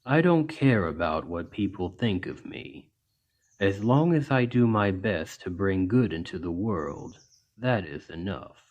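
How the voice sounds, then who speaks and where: A man voicing a deep, slow, gentle orc healer. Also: animated. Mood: resigned, steady. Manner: measured, earnest, reassuring. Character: large gentle orc healer, kindly and plain-spoken. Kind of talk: ASMR roleplay, soft storytelling to an injured listener.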